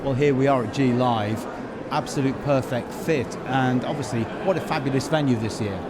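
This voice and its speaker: A man's voice: mid-range voice, moderate pace. A man hosting a podcast, presenting and interviewing in an upbeat way backstage at a busy venue. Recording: noisy busy backstage location.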